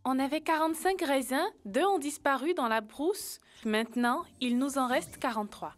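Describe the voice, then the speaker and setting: A woman's voice: high voice, normal speed, faint music behind, close to an everyday voice. A woman voicing an earnest, helpful young animal in a French educational cartoon, explaining things step by step.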